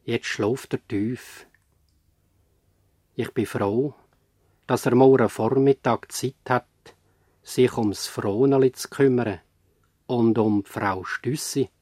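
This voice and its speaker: An actor playing a grave, reflective narrator of a radio play, addressing listeners in a measured way. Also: male voice, medium pitch, mellow, no echo, clean studio sound. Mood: calm, gentle. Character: grave, reflective male narrator concerned for humanity. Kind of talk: scripted radio drama narration.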